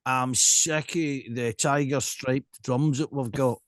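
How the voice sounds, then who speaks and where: A man's voice: mid-range voice, steady tone, slow. A man with a Scottish accent hosting a casual interview, talking in an emphatic, rambling way and often checking in with the listener. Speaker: podcast host.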